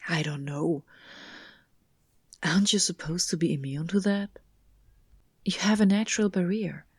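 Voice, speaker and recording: A woman playing a guarded, soothing police detective in an audio drama, with a breathy, often whispery and measured delivery. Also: on the low side, dry recording, studio quality. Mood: hesitant, probing. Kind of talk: hushed audio drama acting.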